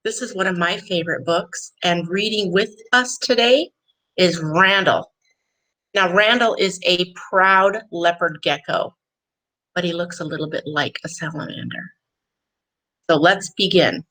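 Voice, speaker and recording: A woman, a recess teacher, reading a picture book aloud to children in a calm, gentle way. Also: fairly low pitch, a little slow, poor audio. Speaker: recess teacher, guest reader.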